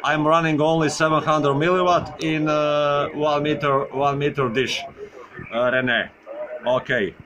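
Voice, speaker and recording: A man making a friendly amateur radio contact, halting and repeating his words. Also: fairly high pitch, steady tone, a crowd in the background, poor audio. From Croatia.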